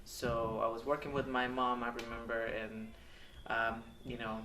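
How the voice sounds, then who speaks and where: A man in his thirties from Mexico, telling his life story in a candid, hesitant way with many pauses and ums. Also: medium pitch, completely flat delivery, bright, forceful tone, slight background noise. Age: 30s. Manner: hesitant, halting, with frequent ums and uhs. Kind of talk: candid personal testimonial. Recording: echoey room, mic at a distance.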